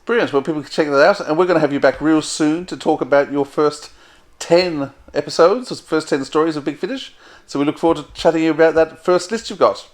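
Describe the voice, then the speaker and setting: A man's voice: a little high, a little fast. A man casually hosting a podcast interview and putting questions to a guest.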